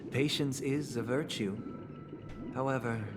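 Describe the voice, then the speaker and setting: An actor playing a composed, zealous young male villain in a game, speaking in a measured, formal and softly menacing way. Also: medium pitch, fairly even intonation, natural voice. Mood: calm politeness. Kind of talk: dramatic game voice acting. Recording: orchestral game music behind the voice.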